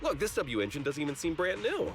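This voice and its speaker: An actor voicing an inquisitive, expressive man appraising goods in a game cutscene. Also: a little high, fast, soft background music. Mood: surprised, skeptical. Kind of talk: casual game cutscene dialogue.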